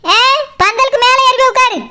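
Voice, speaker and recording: A woman's voice: very high voice, brisk, very noisy. A woman voicing a bossy, sarcastic, teasing cartoon boss who scolds in colloquial Kanyakumari Tamil. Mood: mocking, scolding. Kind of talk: comic cartoon dialogue.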